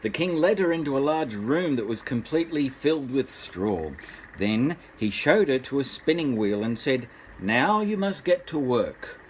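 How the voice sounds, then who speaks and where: An older man reads a bedtime story as a grandfather would to children. His delivery is unhurried and expressive, with a mid-range voice that rises and falls widely. There is faint noise in the recording. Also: medium pitch.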